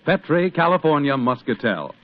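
Male radio announcer, 1940s scripted delivery, lively pitch swings, thin band-limited sound. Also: normal speed. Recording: tinny, band-limited old radio broadcast.

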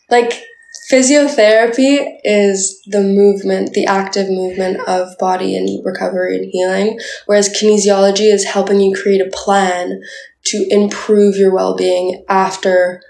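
A woman's voice: mid-range voice, creaky, a little mellow, echoey room, rough recording. A young woman from Canada chatting playfully with viewers on a livestream, full of "like" fillers, filmed on a phone held at arm's length indoors. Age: young adult. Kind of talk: casual live chat with viewers. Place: indoors, small room.

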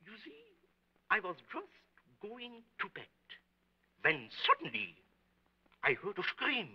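A man playing an excitable, stubbornly certain middle-aged German restaurant owner in a 1930s film, with halting, emphatic delivery and a German accent. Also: high voice, husky, exaggerated voice. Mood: earnest, insistent. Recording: muffled old 1930s film soundtrack.

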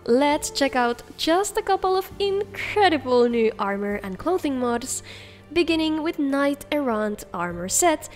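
A woman hosting a video, reading scripted showcase narration. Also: sits high. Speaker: video host. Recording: faint music under the voice.

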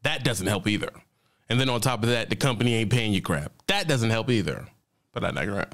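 A fairly low-voiced man talks over a video on his home stream, blunt and full of slang. He speaks close to a studio microphone, and his asides come quick and emphatic. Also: lively intonation, fairly bright tone. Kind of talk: casual reaction commentary. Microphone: studio mic on boom, headphones.

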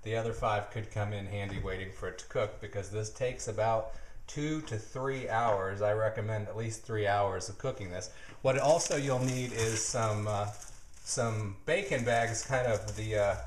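A man in his thirties hosting a jokey home cooking video in his kitchen, rambling with deadpan asides, recorded on a nearby camera mic. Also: fairly low pitch, normal speed, roomy sound, slight background noise. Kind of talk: jokey mock cooking show. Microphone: camera mic, handheld or nearby.

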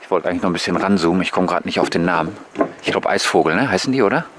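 A man giving relaxed, musing, casual commentary to viewers as a vlogger on a bike tour. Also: low-pitched, racing. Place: outdoors on rural paths and waterside. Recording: noisy outdoor recording with passing vehicles.

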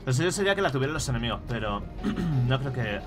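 A man's voice: mid-range voice, very animated, fast talker. Young male streamer from Spain, casual swearing play-by-play on a headset, game music running behind. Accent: Spain Spanish. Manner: swears freely, muttering to himself while playing. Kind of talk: casual gameplay commentary. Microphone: headset mic.

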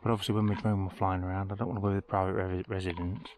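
A man's voice: low voice, little pitch movement, racing, a little mellow. A man giving casual, informal vlog commentary, thinking aloud as he walks outdoors. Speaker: travel vlogger. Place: outdoors, walking around a village churchyard.

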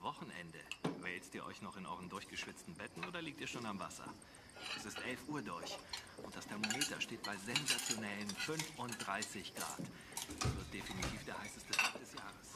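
An actor playing a chatty local radio presenter in a radio play, with breezy broadcast patter, heard quietly as from a distant radio. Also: male voice, lowish voice, lively intonation, brisk. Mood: breezy, hyped. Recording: distant, quiet radio under loud kitchen noise.

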